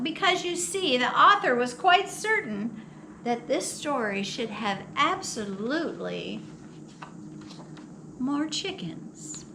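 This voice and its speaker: A woman reading a children's picture book aloud as a storytime host, expressive and theatrical with drawn-out words. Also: a little high, noisy background. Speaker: storytime host at a public library.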